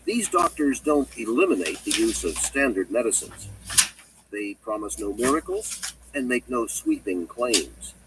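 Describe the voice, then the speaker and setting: A man reading a nonfiction audiobook in a measured, emphatic and articulate way. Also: on the low side, animated, distant mic, noisy background. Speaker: audiobook narrator. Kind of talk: scripted nonfiction audiobook narration.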